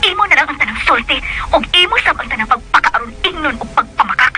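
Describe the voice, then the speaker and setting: A woman's voice: high-pitched, lively intonation, quick pace, over music. A woman acting an anxious, outspoken young woman in a radio drama, emotional and emphatic. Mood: indignant.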